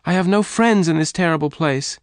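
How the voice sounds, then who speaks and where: A man's voice: medium pitch, moderate pace, no room sound, studio quality, pitched above the performer's own voice, lightly acted. A man raising his voice for a pleading young woman. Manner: raised, pleading voice.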